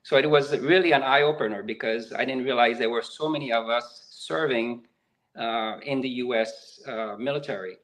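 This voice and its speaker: A man in his fifties, born in Haiti, talking as a guest in a casual interview, reflective and halting with frequent fillers. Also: medium pitch, a bit bright, rough recording. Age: 50s. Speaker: guest, nonprofit executive director.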